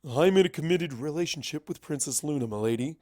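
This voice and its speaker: A man's voice: on the high side, animated, fairly quick, darkish tone, dry recording, clean studio sound, close to an everyday voice. A man voicing a courteous, scholarly male pony wizard from the past, formal and explanatory, in a story reading. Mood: amused, courteous.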